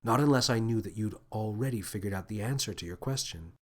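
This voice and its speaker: A man voicing a gentle, wise female alien officer with a soft, soothing, measured delivery in an audio story. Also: lowish voice, close to an everyday voice. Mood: solemn. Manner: calm, measured, thoughtful.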